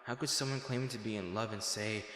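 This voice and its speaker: A man playing a devoted, remorseful bodyguard to a noble lady in a fantasy audio drama, speaking in a formal, halting, earnest way. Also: fairly low pitch, a bit bright, close to an everyday voice. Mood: anguished, self-reproachful.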